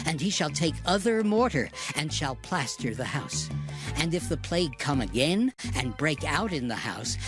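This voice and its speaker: A man reading scripture as a narrator, with formal, deliberate phrasing in a scripted style. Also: fairly high pitch. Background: background music.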